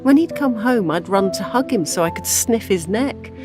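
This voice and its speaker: A woman's voice: medium pitch, animated, moderate pace, over music, natural voice. An actress with a British accent playing a grieving older widow and mother, wry and devoted, in an intimate audio drama. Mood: grieving, tender.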